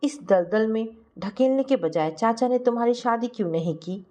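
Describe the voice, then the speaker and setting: A woman's voice: a little high, expressive, moderate pace, dark tone, lightly acted. A woman voicing a kind, principled man who talks in an earnest, moralizing way in a family story. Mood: resolute. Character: kind, principled man advising his friend.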